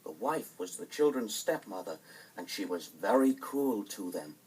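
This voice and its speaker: A man narrating a children's bedtime story in a dramatic, expressive scripted style. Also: fairly low pitch, a little slow, breathy edge, far from the mic, low-quality recording. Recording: vinyl record with music behind.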